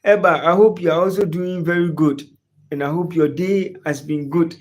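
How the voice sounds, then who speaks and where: A man with a Ghanaian English accent and a slightly high voice hosts a football livestream. He draws out his questions and repeats whole phrases over and over. Along the way he greets viewers in the chat by name. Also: fairly even intonation, normal speed. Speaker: sports livestream host. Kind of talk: live sports chat reading viewer comments.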